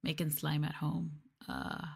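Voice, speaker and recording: A woman speaking softly and intimately in a casual ASMR chat, at times dropping to a whisper. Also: low voice, wildly swooping pitch, measured pace, vocal fry, breathy edge. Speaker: streamer, VTuber.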